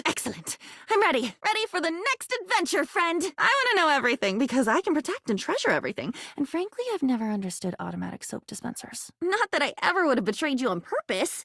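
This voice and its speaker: A woman's voice: high voice, dry recording, clean studio sound, exaggerated voice. A woman voicing a sweet, naive and chatty living diary in a video game, rambling with wide-eyed wonder. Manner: breathless rambling, wide-eyed, swings from hushed to gushing.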